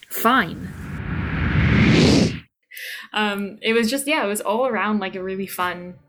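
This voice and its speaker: A woman's voice: mid-range voice, a little fast, heavy background noise. A woman chatting casually as an interview guest, hesitant but effusive. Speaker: voice actor being interviewed.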